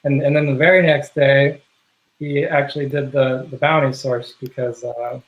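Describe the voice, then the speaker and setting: A man being interviewed on a video call, talking casually and haltingly with many fillers and restarts. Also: medium pitch, flat delivery, muffled recording. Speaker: guest, software project contributor.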